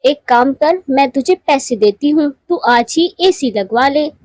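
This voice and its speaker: Cartoon elder-sister bird played by a woman: female, high voice, showy, snobbish, measured delivery. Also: animated, a little mellow, no echo. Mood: condescending, boastful. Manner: condescending, showy. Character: haughty rich married female bird, boastful elder sister. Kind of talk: Hindi moral cartoon voice acting.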